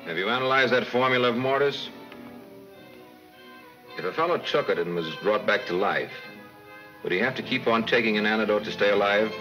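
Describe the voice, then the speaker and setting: An actor as an urgent detective, dull film sound. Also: male voice, on the low side, fairly quick, pauses often, close to an everyday voice. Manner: businesslike, urgent. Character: urgent, clipped male detective making calls. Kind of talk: 1940s film serial acting. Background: loud dramatic music.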